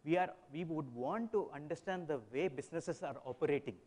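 Fast-talking man on a conference panel. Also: fairly high pitch, a little mellow. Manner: fast, emphatic, storytelling with examples. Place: large hotel conference hall.

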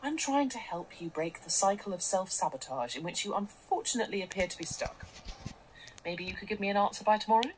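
An actress in a radio drama playing a condescending female tech boss with a cool, measured, patronising manner. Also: mid-range voice, lively intonation, close to an everyday voice, low-quality recording. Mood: patronising, persuasive. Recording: faint noise, played back through a speaker.

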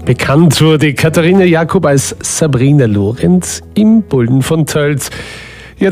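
A man presenting a scripted radio introduction in a smooth, measured way. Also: a little high, darkish tone. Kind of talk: scripted narration.

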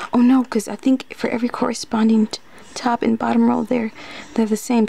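Hesitant, creaky-voiced woman muttering in ASMR. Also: fairly high pitch, a little mellow, a little hiss or hum. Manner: hesitant, flustered, repeats words, often slipping into whispers. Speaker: ASMR roleplayer acting as an unprepared student taking a quiz. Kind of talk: ASMR roleplay, muttering to herself.

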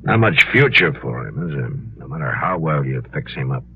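An American actor playing a gruff, weary, firm US marshal in a 1950s radio western, with a clipped, authoritative manner. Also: male voice, very deep voice, big pitch swings, normal speed, exaggerated voice. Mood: grim. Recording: muffled, noisy 1950s radio recording.

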